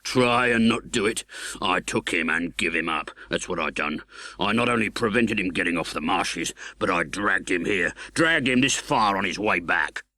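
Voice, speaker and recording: Audiobook role: hardened English convict acted by a male reader, scornful, uneducated, low-pitched, brooding. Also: lively intonation, bright, forceful tone, dry recording, exaggerated voice. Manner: slow, grudging, uneducated speech.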